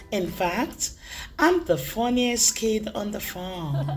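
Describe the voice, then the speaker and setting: Woman voicing an excitable young goat kid, very swoopy. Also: mid-range voice. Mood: eager, playful. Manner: bubbly, expressive, drawn-out emphasis. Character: excitable, playful young goat kid who loves making friends laugh. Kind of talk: first-person children's storybook read-aloud.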